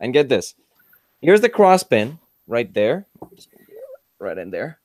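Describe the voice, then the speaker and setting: A man's voice: mid-range voice, takes it slowly, no room sound. Brief: young guy on a headset, dry close sound, eager show-and-tell, lots of "uh" and "like". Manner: excitable, lots of fillers and restarts. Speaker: panelist showing a rifle. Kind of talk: casual chat, show-and-tell demo. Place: cluttered workshop indoors. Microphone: headset, video call.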